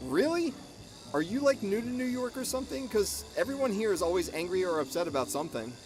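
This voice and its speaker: Woman doing a snarky disbelieving sidekick, radio drama, really animated, bit of music under it. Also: medium pitch, fast talker, natural voice. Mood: sarcastic. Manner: sarcastic, incredulous.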